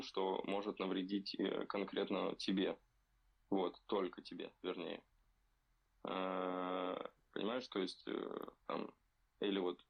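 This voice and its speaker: A young man co-hosting a casual podcast from a remote setup, talking slowly and hesitantly with many fillers while slightly ill. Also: low voice, gravelly. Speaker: podcast co-host. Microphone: remote recording.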